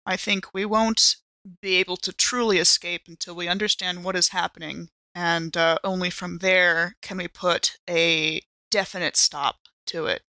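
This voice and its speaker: A woman's voice: lowish voice, steady tone, moderate pace, bright, forceful tone, dry recording. A woman improvising in character at a roleplaying game, with dry, wordy, rather formal phrasing. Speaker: player in a tabletop roleplaying audio drama.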